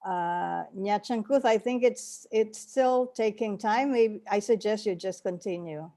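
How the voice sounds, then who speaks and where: Mellow-voiced woman moderating a call. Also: medium pitch, measured pace. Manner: polite, hesitant and repetitive. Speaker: meeting moderator. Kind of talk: online meeting moderation. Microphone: video call.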